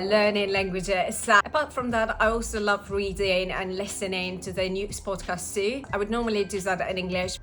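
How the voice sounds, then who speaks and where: A woman's voice: medium pitch, pushed and bright. A young woman whose first language is Russian, explaining language-learning habits in a casual vlog with frequent "uh" fillers. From Russian-speaking. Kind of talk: casual vlog talk to camera.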